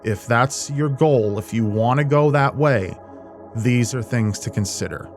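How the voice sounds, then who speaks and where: A man's voice: medium pitch, measured pace. A man hosting a video, giving casual reaction commentary with rambling, informal asides. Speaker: host, horror video creator. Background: music.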